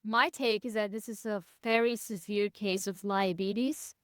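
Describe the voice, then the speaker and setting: A young woman from Belgium, speaking English as a second language, chats as a podcast guest with a smooth, resonant voice. She talks in a lively, animated way, often starting thoughts with "I feel like." The sound is dry and close. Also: medium pitch, normal speed, no echo. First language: not English. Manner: animated, laughs easily, says "I feel like" often. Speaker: podcast guest, personal brand strategist. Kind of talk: casual interview chat.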